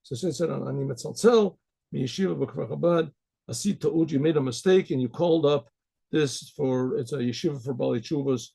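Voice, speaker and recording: An American man tells a personal story in a gravelly, husky voice with a mellow, dark tone. He talks in a halting way, with fillers and restarts. He clears his throat often. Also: mid-range voice. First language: English. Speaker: rabbi telling a personal story. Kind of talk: casual spoken storytelling.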